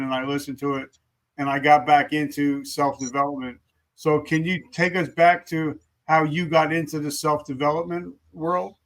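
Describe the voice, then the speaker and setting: Host, man mid-fifties, somewhat higher-pitched, keen and a little stammering. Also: a bit bright, poor audio. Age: 50s. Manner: enthusiastic, repeats words when starting. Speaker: podcast host. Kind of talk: interview host.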